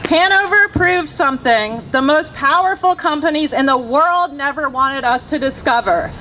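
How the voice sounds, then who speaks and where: High-voiced woman giving a fiery rally speech. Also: normal speed. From Virginia. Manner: impassioned, rhythmic, building lists. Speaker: grassroots organizer. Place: outdoor rally. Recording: noisy outdoor rally.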